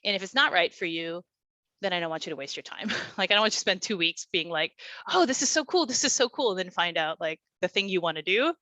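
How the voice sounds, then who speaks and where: A woman's voice: fairly low pitch, expressive, fast talker, sharp tone, no room sound. A woman teaching an online class, talking in an encouraging, conversational way with many fillers over a video call. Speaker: class instructor.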